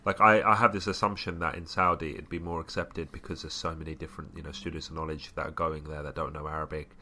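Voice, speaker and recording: A man co-hosting a podcast, mostly giving short agreements and asking relaxed questions. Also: a bass voice, fast talker. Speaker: podcast co-host. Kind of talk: casual podcast chat.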